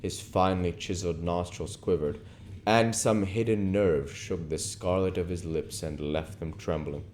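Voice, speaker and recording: A man reads literary fiction aloud in a mellow, relaxed voice. His phrasing is measured and deliberate, dropping low as he narrates. Some noise is audible in the recording. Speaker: audiobook reader. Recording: noticeable background noise.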